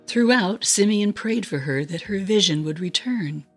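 A woman's voice: medium pitch, lively intonation, a little slow, breathy edge, faint music behind. A woman narrator giving a dramatic scripted reading of a Bible story. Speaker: narrator and co-host.

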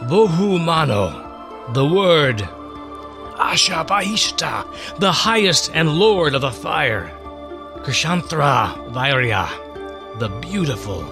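Man acting an awestruck elder astrologer, wide-swinging pitch. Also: very animated, slow pace, gravelly, music in the background, full character voice. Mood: awestruck, fervent. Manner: exclaiming, dramatic, reverent. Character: awestruck elder astrologer, a fervent male teacher and prophet. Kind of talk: dramatic storytelling reading.

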